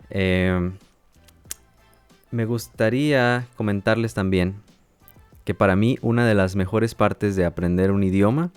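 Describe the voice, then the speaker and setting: A man with a lowish voice teaches in a calm, measured way and pauses to check that listeners follow. The sound is dry and close, with faint music in the background. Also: no echo. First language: Spanish. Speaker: language teacher and podcast host. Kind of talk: educational podcast monologue.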